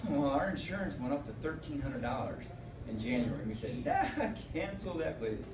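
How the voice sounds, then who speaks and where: A man in the audience telling a relaxed personal story, recorded from a distance on a phone in a meeting room. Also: mid-range voice, roomy sound, noisy background. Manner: chatty, unhurried, laughs at his own story. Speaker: audience member giving a testimonial. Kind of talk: casual testimonial. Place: hotel meeting room, indoors. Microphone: far phone camera in the audience.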